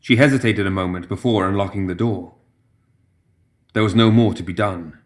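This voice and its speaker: A man's voice: on the low side, moderate pace. A man reading a story as a narrator, in a scripted dramatic style. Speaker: audio fiction narrator.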